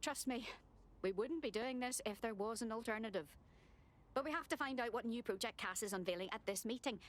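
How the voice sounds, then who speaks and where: A woman's voice: on the high side, a little fast, close to an everyday voice. A woman playing a determined, direct resistance operative in a video game, businesslike and terse. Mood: resolute, focused. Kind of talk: video game cutscene dialogue.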